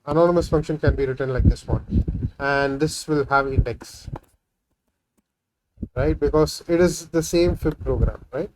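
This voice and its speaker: A man's voice: on the high side, moderate pace, long pauses, a little mellow, slight background noise, poor audio. A man whose first language is Tamil, talking through a coding session in a casual screen-recorded tutorial, thinking aloud with frequent fillers and "right?" tags. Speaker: programming tutorial host.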